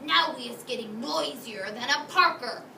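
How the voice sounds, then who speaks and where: A woman's voice: high-pitched, normal speed, vocal fry. A woman voicing a big, moody, tearful storybook giant who exclaims in muddled grammar. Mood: wary. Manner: ungrammatical, exclaiming. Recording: echoey room, distant mic, noisy background.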